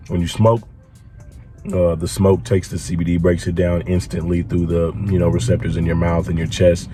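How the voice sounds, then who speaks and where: A young man with a hoarse, congested voice, talking casually in a home product-review vlog with lots of filler words. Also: low-pitched, noisy background. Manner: laid-back, frequent filler words.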